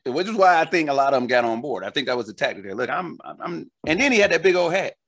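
A man's voice: fairly high pitch, sing-song, fast talker, fairly bright tone. A man in his forties co-hosting a podcast, chatting casually and telling jokey stories. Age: 40s. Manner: jokey, drawling storyteller. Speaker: podcast co-host. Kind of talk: casual chat.

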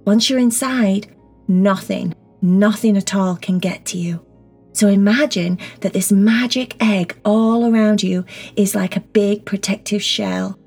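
Female meditation guide for children: hushed, husky-breathy delivery with a creaky edge, gentle and reassuring. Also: medium pitch, steady tone, a little slow. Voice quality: soft, breathy, often near a whisper. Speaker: guided meditation teacher and host. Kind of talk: children's guided meditation, slow soothing instruction. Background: soft background music.

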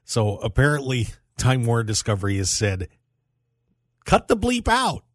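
A man's voice: mid-range voice, huge pitch swings, moderate pace, no room sound, studio quality. A man hosting a sports talk radio show in a casual, conversational way, addressing his listeners directly.

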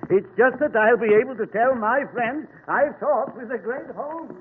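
A fawning old stranger. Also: male voice, extremely high pitch, normal speed, a little hiss or hum. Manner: obsequious, stammering, over-eager. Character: fawning, shabby old man, oddly eager. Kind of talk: 1940s radio acting. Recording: tinny old radio broadcast.

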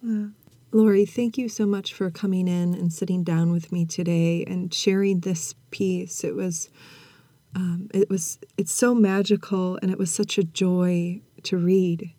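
A woman hosts a reflective podcast with a mellow, dark-toned delivery. Her voice has a husky, breathy edge. The sound is dry, with little room in it. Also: lowish voice, fairly even intonation, measured pace, no echo. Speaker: podcast host. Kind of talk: reflective interview and scripted podcast narration.

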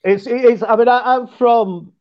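A man with a West Yorkshire accent, a podcast guest chatting casually, with bursts of quick talk and frequent restarts. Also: extremely high pitch, fairly even intonation, lo-fi sound. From West Yorkshire, England. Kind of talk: casual chat.

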